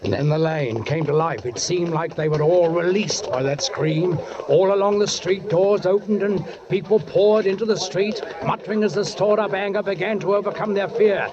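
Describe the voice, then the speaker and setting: An actor playing a brooding, watchful London police sergeant who narrates in 1940s radio drama style. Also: male voice, high-pitched, moderate pace, few pauses, a crowd in the background, natural voice. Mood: brooding, suspenseful. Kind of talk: 1940s radio acting, first-person narration. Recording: dull 1940s radio recording, music behind.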